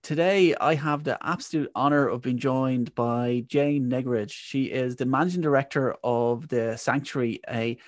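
A man's voice: mid-range voice, normal speed, few pauses, no room sound. Male podcast host, effusive, dry close-miked sound. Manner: warm, effusive, enthusiastic welcomes. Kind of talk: podcast introduction and interview.

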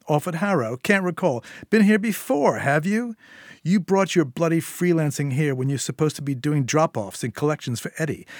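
A man's voice: fairly high pitch, no echo, clean studio sound, pitched above the performer's own voice. A man voicing a menacing, volatile criminal woman in an audiobook, with a taunting, sneering manner.

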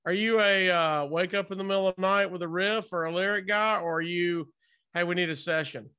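A man's voice: sits high, fairly even intonation, fairly quick, bright, forceful tone, clear, resonant tone. A man hosting a casual interview, chatting in an informal, rambling, buddy-like way. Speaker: interview host.

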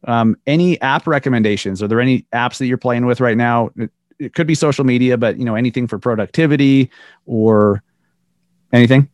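A man hosting a podcast, relaxed and casual, firing off quick this-or-that questions to his guest. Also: mid-range voice, no echo. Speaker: podcast host.

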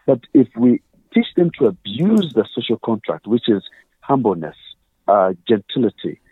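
A man's voice: mid-range voice, sing-song, moderate pace, husky, no echo. Ugandan man on a tinny phone line. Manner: earnest, explains with examples and quoted questions. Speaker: guest. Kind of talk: conversational interview.

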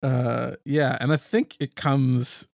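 A man's voice: mid-range voice, fairly even intonation, takes it slowly, gravelly, no room sound. A man in a mid-range voice co-hosts a casual music chat. He talks hesitantly and pads his sentences with fillers. The recording is dry and close. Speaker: podcast co-host.

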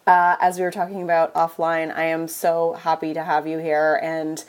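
A woman's voice: low-pitched, completely flat delivery, moderate pace. Upbeat enthusiastic woman podcast host. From Canada. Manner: upbeat and enthusiastic, with frequent likes. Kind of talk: podcast intro and casual interview.

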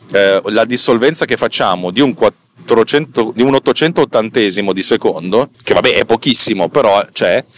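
A man with a fairly low voice talks like a podcast host explaining technical things. His delivery is informal and colloquial, with halting phrasing as he often corrects himself mid-sentence. He comes across as self-deprecating and unpolished.

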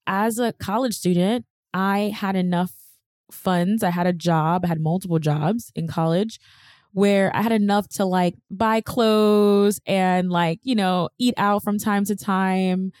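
A woman's voice: mid-range voice, steady tone, a little slow, darkish tone, no room sound. A young woman hosting a podcast, telling her own story in a candid, chatty, self-deprecating way on a close mic. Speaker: podcast host. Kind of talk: personal storytelling monologue.

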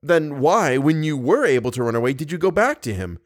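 A man's voice: fairly high pitch, very animated, fairly quick. Man acting a jealous, impetuous young lover. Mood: jealous, hurt. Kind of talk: audiobook character dialogue.

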